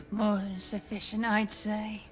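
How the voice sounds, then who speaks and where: A woman's voice: mid-range voice, in a large hall, close to an everyday voice. An actress playing a teasing, languid Victorian brothel woman in a video game.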